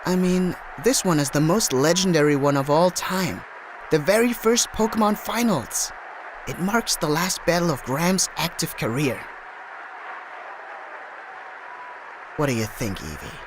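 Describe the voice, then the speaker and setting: A man's voice: on the high side, expressive, moderate pace, background noise, lightly acted. A man voicing a cocky, sarcastic boy rival in a manga dub, boastful and teasing. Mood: admiring.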